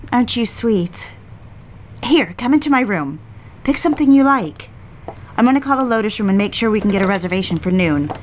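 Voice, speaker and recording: A woman's voice: mid-range voice, lively intonation, fairly quick, a little hiss or hum, natural voice. An actress playing a genteel, eager young society woman in an audio drama, speaking and narrating with proper, polite diction. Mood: gracious, eager. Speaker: lead character and narrator. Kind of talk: audio drama acting with first-person narration.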